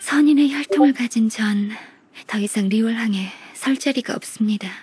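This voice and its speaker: An actress playing a gentle, formal, melancholy half-immortal young secretary in a game, speaking softly with polite, measured resignation. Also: female voice, fairly high pitch. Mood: resigned, wistful. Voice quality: very breathy, soft. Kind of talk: Korean game voice acting. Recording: music behind the voice.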